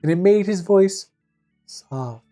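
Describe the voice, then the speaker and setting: A woman hosting and narrating a storybook tale in a formal, deadpan manner with dry asides. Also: mid-range voice, slow, faint music behind. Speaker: fairy tale reader and host.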